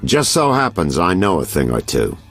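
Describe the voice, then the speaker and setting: Knowing, insinuating game detective: husky, creaky, very low, animated. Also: male voice, normal speed, faint music behind, full character voice. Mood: sly, knowing. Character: sly, knowing male private detective selling information. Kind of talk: video game character acting.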